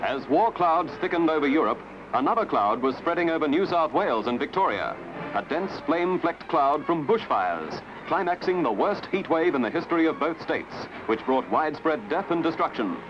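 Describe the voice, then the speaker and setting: Lively, high-pitched male newsreel narrator. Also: normal speed, background noise. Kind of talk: scripted documentary newsreel narration. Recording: dull lo-fi archival film soundtrack with music. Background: prominent music.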